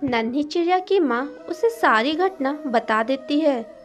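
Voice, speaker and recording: A woman's voice: sits high, animated, a little slow, soft background music. A woman narrating a scripted moral story for children as a storyteller.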